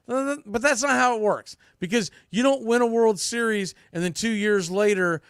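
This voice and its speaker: A man's voice: fairly high pitch, smooth, no echo, clean studio sound. A man hosting a sports podcast solo, giving opinionated baseball commentary in a blunt, colloquial way and repeating phrases for emphasis.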